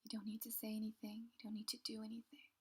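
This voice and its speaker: Woman, meditation guide; slow breathy whisper, flat and calm delivery. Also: a little high. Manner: soft, slow, soothing whisper.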